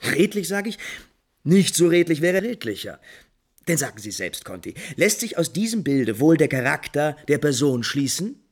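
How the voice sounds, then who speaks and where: A man playing an impulsive, refined, lovesick prince in 1950s German radio drama, theatrically shifting between musing and brisk command. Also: a little high, very animated. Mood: animated, critical. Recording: 1950s radio recording with music behind.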